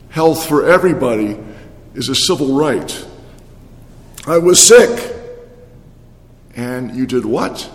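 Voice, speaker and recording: A man preaching a sermon, animated, swinging between loud exclamations and low, drawn-out phrases. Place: large church. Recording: echoey long reverb of a church.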